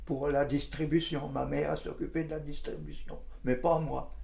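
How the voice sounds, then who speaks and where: A man in his nineties reminiscing in an interview, halting and colloquial, often repeating his phrases. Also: on the high side, steady tone, creaky, a little mellow. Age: 90s. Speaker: interviewee, war witness.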